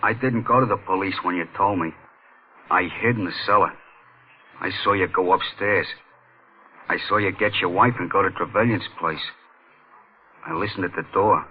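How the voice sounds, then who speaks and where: An actor playing an escaped maniac slasher who confesses coldly, in 1940s radio thriller style. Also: male voice, deep voice, moderate pace, pauses often, breathy edge. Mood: flat, accusing. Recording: thin, tinny old radio recording.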